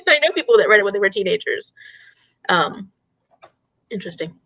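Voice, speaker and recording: Bright-voiced woman hosting, slightly dull audio. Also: mid-range voice, very animated, moderate pace, no room sound. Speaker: book discussion host. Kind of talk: casual chat.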